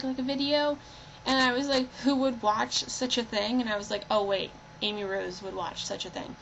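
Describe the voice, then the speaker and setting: Young woman, very creaky voice, casual playful delivery, homemade computer recording, clear background noise. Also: mid-range voice, fairly bright tone, muffled recording. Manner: informal, rambling, playful. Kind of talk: casual chat and storybook read-aloud. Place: indoors, recording on a personal computer. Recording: noisy home computer recording.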